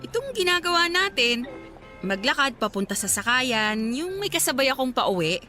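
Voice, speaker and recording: Bright-voiced, shy young provincial woman. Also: high voice, sing-song, normal speed, faint music behind, heavily acted. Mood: open, wistful. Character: shy, sweet young provincial office worker, hardworking and easily flustered. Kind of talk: Tagalog radio drama acting.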